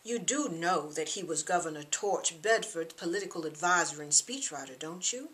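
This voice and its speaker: A woman's voice: lowish voice, expressive, normal speed, a bit bright. Audiobook role: cynical young man, voiced by a woman, grave warning manner, forward tone.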